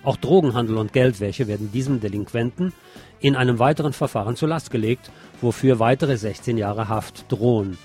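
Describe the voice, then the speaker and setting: A man reads scripted news as a radio presenter in a medium-pitched voice. He slips in wry asides between the facts. Music plays faintly behind him. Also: fairly quick, a little mellow.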